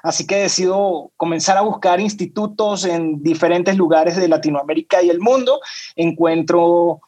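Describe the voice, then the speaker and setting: A talkative man in his thirties with a Venezuelan accent, speaking as a podcast guest. His voice sits high for a man. He keeps looping back on his words as he speaks. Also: moderate pace, a little mellow. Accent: Venezuelan Spanish. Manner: talkative, repeats words while searching for them. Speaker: podcast guest, music executive. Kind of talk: casual interview chat.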